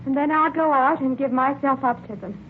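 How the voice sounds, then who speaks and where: An actress playing a desperate, devoted wife in 1940s radio drama style, emotional and pleading, on an old radio recording. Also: female voice, high voice, fairly quick, narrow, tinny audio, slight background noise, lightly acted. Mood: desperate, loving. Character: desperate devoted wife ready to surrender herself.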